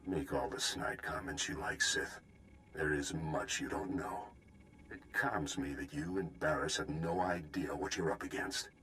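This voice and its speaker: A man playing a proud, protective Jedi Master in a video game, speaking in a measured, formal, defiant way. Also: extremely low pitch, sharp tone, a little hiss or hum, exaggerated voice. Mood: guarded, resolute.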